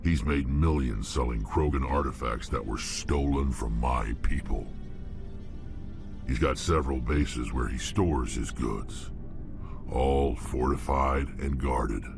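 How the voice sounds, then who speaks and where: An actor playing a hardened, blunt male alien mercenary warrior with a gruff, world-weary manner, in a video game. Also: very deep voice, slow pace, full character voice. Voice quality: gravelly. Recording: game audio with music behind.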